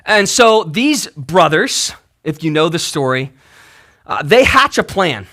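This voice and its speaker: A man's voice: on the high side, big pitch swings, takes it slowly, pushed and bright. A man preaching a casual, conversational sermon, telling the story emphatically in colloquial language and often checking in with the listeners. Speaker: pastor preaching.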